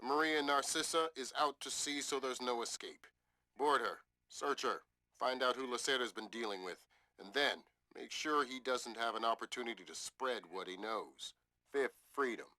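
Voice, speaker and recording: A man's voice: medium pitch, normal speed, bright, forceful tone, no room sound, natural voice. A man playing a stern, wry spy commander giving orders in a video game briefing. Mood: authoritative.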